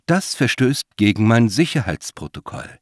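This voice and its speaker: A man voicing a genderless, dutiful machine, formal and measured, in an audiobook. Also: mid-range voice, sing-song, no room sound, studio quality. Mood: impassive.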